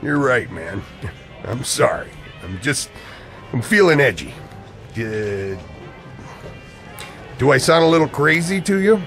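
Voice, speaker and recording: A man's voice: medium pitch, very animated, slow, long pauses, fairly bright tone, exaggerated voice. An actor playing an edgy, secretive man in radio play dialogue, coy and evasive with an old friend. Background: music.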